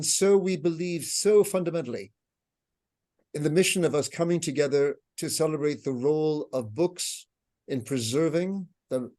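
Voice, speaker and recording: American man, mellow and warm, solemn measured delivery, ceremony host. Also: on the high side. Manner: measured, earnest, grave. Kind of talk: solemn formal speech. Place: online video event.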